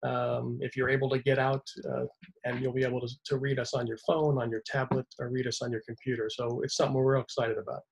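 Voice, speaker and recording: A man's voice: mid-range voice, flat delivery, quick pace, gravelly, slightly rough, rough recording. An older man speaking casually over a video call, upbeat and loose with fillers. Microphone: video call webcam.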